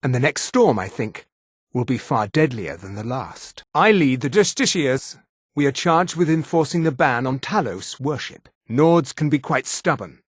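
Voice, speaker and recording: A man voicing a haughty male high elf officer in a fantasy video game, speaking with disdainful, formal authority. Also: expressive, moderate pace, husky, no echo, clean studio sound. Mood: haughty, menacing.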